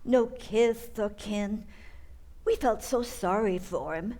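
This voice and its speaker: A woman's voice: mid-range voice, slow, warm tone, natural voice. A woman playing a kindly, dotty old aunt in an old-time radio comedy, cosy, innocent and fussily precise.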